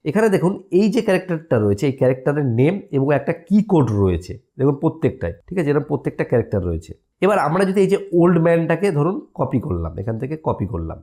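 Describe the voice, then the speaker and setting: A male tutorial host walks listeners through an app in a casual, step-by-step way. His voice is mellow and medium in pitch, with lively rises and falls. He often pauses his explanation to check that listeners follow.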